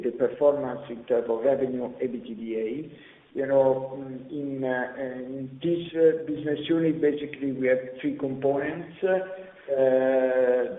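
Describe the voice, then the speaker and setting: A man presenting company results as an executive on a conference call, speaking haltingly with repeated words and drawn-out pauses. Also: medium pitch. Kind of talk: earnings conference call presentation, semi-scripted business talk. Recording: echoey hall reverb, slightly dull conference-call audio.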